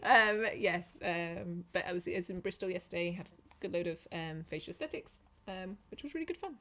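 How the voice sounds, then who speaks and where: A woman co-hosting a podcast in casual chat, cheerful and quick to laugh. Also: lowish voice, expressive, a loud crowd. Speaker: podcast co-host, dentist.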